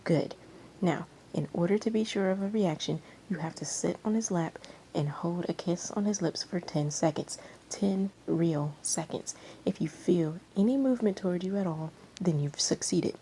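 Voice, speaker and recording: A woman's voice: fairly low pitch, normal speed, warm tone, a little hiss or hum, natural voice, rough recording. A woman voicing an anxious, pleading male talent manager with an urgent, insistent manner in a story reading. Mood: instructing.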